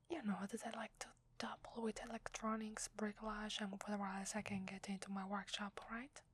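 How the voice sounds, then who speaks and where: Female actor for secretive, affectionate werewolf wife, ASMR roleplay; Italian accent, breathy and hesitant whispering, very dry close sound. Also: mid-range voice, no echo. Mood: playful, affectionate. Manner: tender, hesitant, intimate. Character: loving, secretive werewolf wife, tender tinkerer and sculptor.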